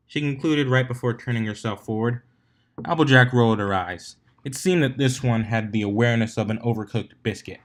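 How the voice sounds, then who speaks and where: Male story reader, middle-pitched, expressive narration, informal and unpolished with audible self-corrections. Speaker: fan fiction reader. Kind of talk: narrated story reading with character voices.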